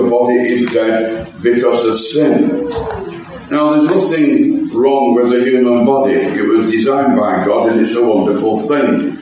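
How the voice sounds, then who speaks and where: A man's voice: mid-range voice, a little mellow, a little hiss or hum. A man with a Lancashire accent giving a rambling, self-deprecating Bible study exposition with frequent restarts. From Lancashire, England. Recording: echoey, distant, dull meeting hall recording.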